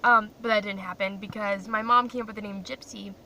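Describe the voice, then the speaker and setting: A woman's voice: medium pitch, steady tone, brisk, pushed and bright. A young woman who grew up in Croatia, chatting casually and rambling to her phone camera in a parked car, often poking fun at herself. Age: young adult. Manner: chatty, rambling, self-deprecating. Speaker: vlogger. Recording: distant mic with faint car noise.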